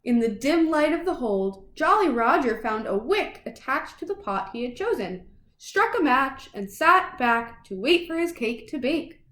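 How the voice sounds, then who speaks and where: A woman reading a children's picture book aloud in an expressive, dramatic way for young listeners. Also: sits high, measured pace, reverberant. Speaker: children's storybook reader.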